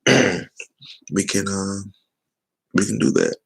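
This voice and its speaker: A man chatting loosely and teasingly on a sports talk livestream, with a voice he says is going hoarse. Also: low voice, little pitch movement, drawn-out words. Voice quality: gravelly, husky. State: losing his voice. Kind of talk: casual livestream chat.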